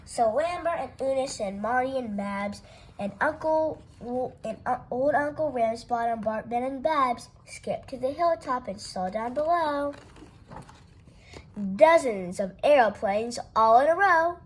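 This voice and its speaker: Woman storyteller, fairly high voice, unhurried rhyming read-aloud for kids. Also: animated, a little hiss or hum, low-quality recording. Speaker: storytime reader. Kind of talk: reading a rhyming picture book aloud.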